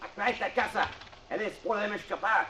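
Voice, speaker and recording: A man's voice: high-pitched, fairly even intonation, brisk, pushed and bright, breathy edge, exaggerated voice. An actor playing a policeman on a manhunt in an old-time radio drama, hurriedly calling out orders. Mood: urgent. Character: urgent Portuguese-speaking policeman hunting fugitives.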